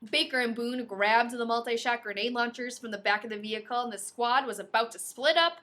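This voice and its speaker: A woman's voice: a little high, fairly quick, pushed and bright. A woman podcast host reading a novel aloud expressively, breaking into playful, casual asides.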